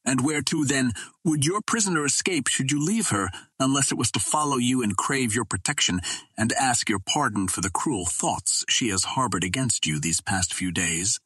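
A man's voice: mid-range voice, expressive, normal speed, fairly bright tone, breathy edge, no room sound. A man voicing a proud young Martian princess in an audiobook, with formal, old-fashioned, imploring speech. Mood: gently teasing. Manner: formal, imploring, archaic phrasing. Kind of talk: audiobook character reading.

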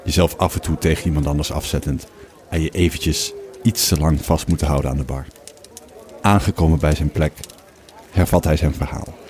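Male narrator, extremely low pitch, dark mellow tone, measured, wry, scripted storytelling. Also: steady tone.

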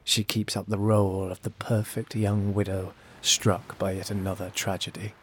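A man's voice: on the low side, level tone, normal speed, slight background noise, close to an everyday voice. An actor playing a cunning, disgraced young noble, narrating his own fantasy tale with sardonic, literary bitterness. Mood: bitter. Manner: theatrical, literary, sardonic storytelling. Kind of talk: first-person narration in a high fantasy audio drama.

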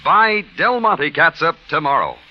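A man's voice: fairly high pitch, animated, measured pace. A man announcing and selling in polished, emphatic 1940s radio commercial style. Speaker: radio announcer reading sponsor ads. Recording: muffled vintage radio recording.

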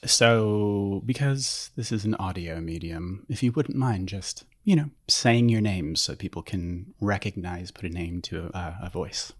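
Husky-voiced male podcast host. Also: deep voice, unhurried, hardly any pauses, faint music behind. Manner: relaxed, hesitant questions with "uh". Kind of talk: podcast interview.

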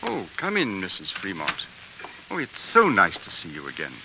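An actor playing a polite, slightly flustered and hesitant publisher in a 1940s radio drama. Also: male voice, low-pitched, wildly swooping pitch, normal speed, exaggerated voice. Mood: cordial, fussy. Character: courteous, slightly flustered male magazine publisher. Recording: noisy, hissy 1940s radio recording.

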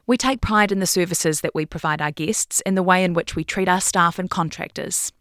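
A woman's voice: lowish voice, brisk, fairly bright tone. A woman reading a scripted long-form news feature as narrator.

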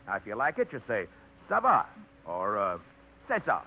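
An actor in a 1950s radio play, playing a blunt, worldly man who talks in rough colloquial phrases. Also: lowish voice, wildly swooping pitch, normal speed. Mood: blunt instructing. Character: worldly, rough-edged man teaching travel French. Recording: muffled, noisy 1950s radio recording.

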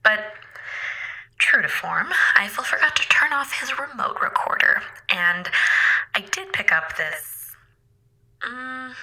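A sardonic shipboard AI, as a woman voices her pointedly picks apart a crewmate's excuses. Her voice is bright and lively, and she takes her time. Faint music sits underneath. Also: on the high side, slow pace, pauses often, exaggerated voice, poor audio. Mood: wry. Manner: pointed, reproachful. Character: sardonic female AI of a space station. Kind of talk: audio drama acting.

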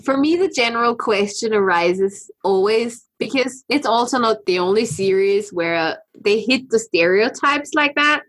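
A woman's voice: a little high, animated, a little slow, few pauses, a bit bright. Chatty woman from Austria, laughing often. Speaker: podcast co-host. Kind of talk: casual news chat.

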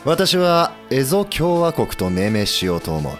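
A man acting as an idealistic samurai commander-in-chief in a period audio drama, earnest, gentle and resolute. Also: mid-range voice, very animated, measured pace, soft background music. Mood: quietly determined.

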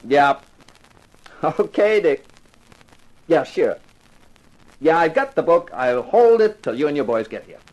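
A man's voice: a little high, big pitch swings, unhurried, long pauses, darkish tone, highs cut off. A man acting a cocky, sardonic private detective with flippant, slangy talk in a 1950s radio crime drama. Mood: breezy, confident.

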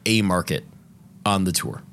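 A man's voice: low-pitched, normal speed, a bit bright. A man co-hosting a podcast, chatting casually and telling loose, profane stories full of fillers. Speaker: podcast co-host. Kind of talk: casual chat.

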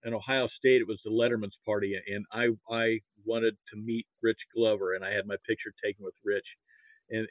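A man's voice: on the low side, no room sound. Male interview guest from Nebraska, casual and rambling, repeats himself, narrow telephone-like sound. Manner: rambling, halting, repeats words. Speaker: interview guest, sports history archivist. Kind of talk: casual reminiscing about football.

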